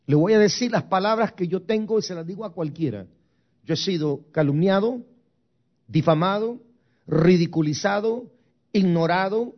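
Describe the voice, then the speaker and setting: A man's voice: sits high, moderate pace, lo-fi sound. A man from Guatemala preaching a sermon as pastor, with emphatic, rising and falling intensity. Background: soft music.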